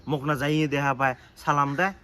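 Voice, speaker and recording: A man's voice: fairly high pitch, fairly even intonation, quick pace, a bit bright, clean tone, lightly acted. A man playing a boastful, self-important village politician in a comedy skit, bossy and indignant, with a rural North Bengal accent.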